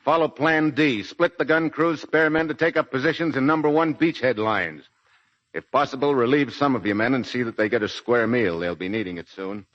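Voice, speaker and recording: An actor playing a resolute, steady army major giving clipped, authoritative orders in 1940s radio drama style. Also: male voice, mid-range voice, a little fast, no room sound, lo-fi sound, close to an everyday voice. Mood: calm command. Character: resolute, steady male military commander, a major. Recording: noisy 1942 radio broadcast recording.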